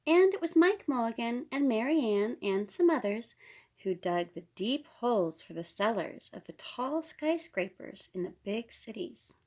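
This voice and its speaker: A woman reading a children's picture book aloud as a storyteller, unhurried and with deliberate pauses. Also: fairly high pitch, lively intonation, a little mellow. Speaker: storybook reader.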